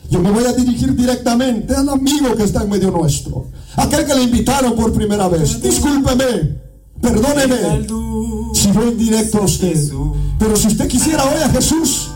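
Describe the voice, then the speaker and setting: A man from Guatemala with a Guatemalan accent preaching a fervent evangelical sermon in church, often shouting and half-singing his phrases. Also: very high voice, expressive, moderate pace. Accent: Guatemalan Spanish. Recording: distant mic with music behind.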